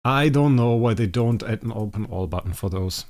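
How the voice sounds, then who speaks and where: A man's voice: medium pitch, moderate pace. German-speaking man thinking aloud on stream. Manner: thinking aloud, often trailing off quietly. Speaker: game streamer. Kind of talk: casual livestream commentary.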